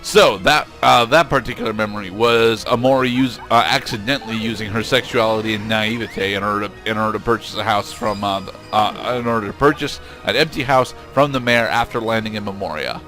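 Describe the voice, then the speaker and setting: A man giving explanatory let's play commentary in a halting way, often restarting his phrases. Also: fairly low pitch, bright, forceful tone, soft background music. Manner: halting, hesitant, with repeated restarts.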